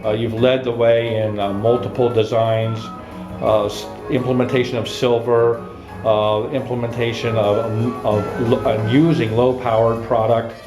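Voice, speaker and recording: A man interviewing and giving an unscripted review, speaking admiringly in deliberate, halting short phrases. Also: medium pitch, level tone. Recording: roomy echo with music behind.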